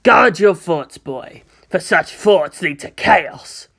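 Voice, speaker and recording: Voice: medium pitch, animated, takes it slowly, vocal fry, a bit bright, breathy edge, heavily acted. A narrator voicing a harsh, commanding military commissar who preaches without mercy. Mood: admonishing.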